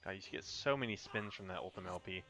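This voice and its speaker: A man's voice: low voice, quick pace, over music. A man playing a game on stream, talking loosely, swearing and muttering as he plays. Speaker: gamer on a group stream. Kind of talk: casual chat.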